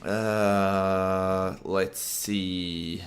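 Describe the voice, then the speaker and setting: A man speaking English as a second language in a casual, unscripted monologue, halting with fillers and restarts, with a deep voice. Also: flat delivery. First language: not English. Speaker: vlogger.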